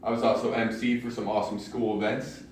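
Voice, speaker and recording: A young man from Ohio with a deep voice, giving a prepared personal speech with self-deprecating jokes at a public speaking club. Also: level tone, fairly quick, recorded from a distance, a little hiss or hum. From Ohio, USA. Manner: self-deprecating humor, storytelling with punchlines. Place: large meeting room in a library. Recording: very echoey room, recorded from afar.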